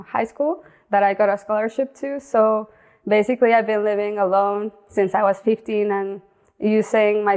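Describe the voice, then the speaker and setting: Mexican woman with a flat voice. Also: mid-range voice. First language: Spanish. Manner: speaks English with pauses and restarts. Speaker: guest, outdoor content creator. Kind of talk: casual interview talk.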